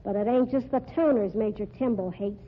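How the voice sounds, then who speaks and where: A woman's voice: mid-range voice, moderate pace, breathy edge, natural voice. A woman playing a worried, slangy circus fat lady with an American accent in an old-time radio drama. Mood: sympathetic. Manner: slangy, folksy. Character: world-weary, worrying circus fat lady. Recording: tinny, faintly noisy old radio recording.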